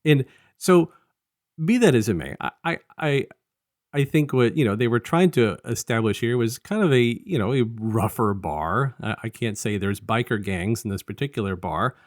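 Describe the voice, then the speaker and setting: A man co-hosting a casual review podcast, talking in a halting, rambling way and often restarting his sentences. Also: medium pitch, lively intonation, dry recording, clean studio sound. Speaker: podcast co-host.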